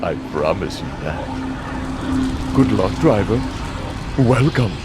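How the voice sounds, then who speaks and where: A sinister master of ceremonies from a video game. He narrates with theatrical grandeur and gloating menace, his pitch roaming widely from line to line. The old recording carries clear noise. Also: expressive. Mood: menacing, gloating. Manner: grandiose, theatrical, drawn-out delivery. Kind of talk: dramatic villain monologue and narration. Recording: heavily noisy old game audio.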